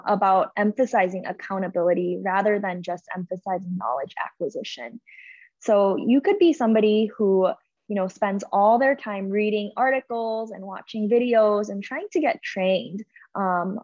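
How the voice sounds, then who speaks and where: A woman's voice: medium pitch, a little mellow, no room sound. A young woman speaking as a webinar panelist, explaining things in an earnest, reflective manner. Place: indoor room, on a video call. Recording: dull video-call audio.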